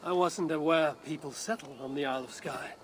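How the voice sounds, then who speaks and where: A woman's voice: low-pitched, a little fast, close to an everyday voice. An actress plays a stoic Viking warrior woman, the hero of a video game. Her delivery is restrained, serious and guarded, with faint noise in the background. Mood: wary, puzzled. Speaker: game protagonist. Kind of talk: video game cutscene acting.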